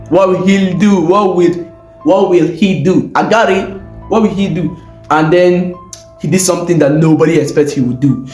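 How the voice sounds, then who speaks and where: A man with a West African accent telling a story in an animated, informal way, full of exclamations, repeated phrases and rhetorical questions. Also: on the high side. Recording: roomy echo, faint music bed.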